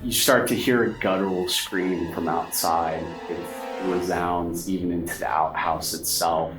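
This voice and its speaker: A man's voice: sits low, fairly even intonation, a little slow, over music. A man acting as game master, narrating a tabletop horror game in an informal, descriptive way. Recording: roomy echo, background noise.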